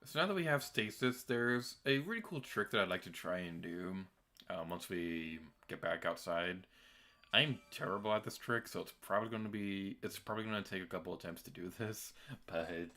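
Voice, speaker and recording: A young man with a deep voice giving chatty, self-deprecating commentary while streaming a game. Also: expressive, moderate pace, pushed and bright, faint music behind. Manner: chatty and self-deprecating, with filler words and laughs. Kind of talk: casual gameplay commentary.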